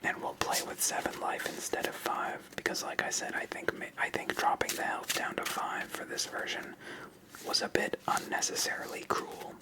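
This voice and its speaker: A person whispering ASMR-style commentary as the host of a card game channel, in a soft, unvoiced manner. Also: normal speed, poor audio.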